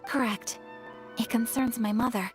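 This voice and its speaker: A woman's voice: a little high, over music, natural voice. An actress playing a polite, earnest young noblewoman in a video game, speaking formally and courteously. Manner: formal, courteous, measured wording.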